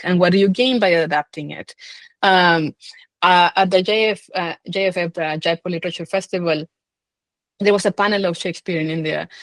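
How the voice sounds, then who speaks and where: A woman with a slightly low-pitched voice answers interview questions as a scholar. She speaks informally and hesitantly, working out her thoughts as she goes, and the sound is fairly dry. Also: dry recording. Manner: hesitant, informal, thinking aloud. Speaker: film scholar and guest.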